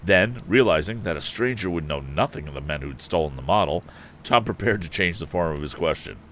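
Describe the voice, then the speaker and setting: A man's voice: low-pitched, lively intonation, brisk, a bit bright, a little hiss or hum. A man hosting a live story reading, narrating expressively and dramatically with casual asides. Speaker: host and reader of a live story stream.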